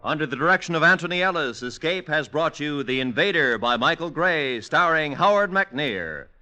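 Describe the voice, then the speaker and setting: A man's voice: a little high, animated, moderate pace, pushed and bright. A man reading scripted announcements in a 1950s network radio announcer style. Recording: vintage radio broadcast. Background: music.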